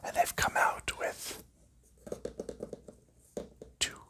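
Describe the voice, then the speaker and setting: A man giving a whispered ASMR walkthrough of a board game, explaining rules and components in a soft, unhurried voice. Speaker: ASMR creator.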